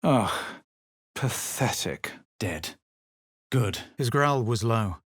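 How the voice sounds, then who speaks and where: Male fantasy game lead: haughty adventurer, measured and dramatic, slightly husky voice. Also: mid-range voice, expressive, fairly bright tone, no room sound, studio quality, heavily acted. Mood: cool, disdainful. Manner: superior, coolly dramatic, measured delivery. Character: haughty, self-assured adventurer hero, adult man. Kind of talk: video game character voice lines.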